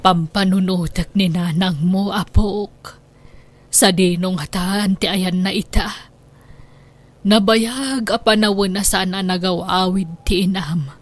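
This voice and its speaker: A woman's voice: mid-range voice, fairly even intonation, slow pace. A woman playing an anxious, devout and loving grandmother in a radio drama, with a tender, lamenting manner. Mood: tender, wistful.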